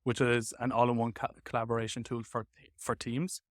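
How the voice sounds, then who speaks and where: A man hosting a casual tech podcast chat, with hesitant, halting phrasing. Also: mid-range voice, level tone, no echo, clean studio sound. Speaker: podcast host.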